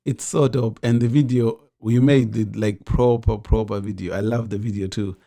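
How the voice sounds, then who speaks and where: A man hosting a casual interview, relaxed and repetitive, filling in with lots of agreeing. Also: lowish voice, normal speed, vocal fry. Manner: relaxed, repeats words, lots of yeah.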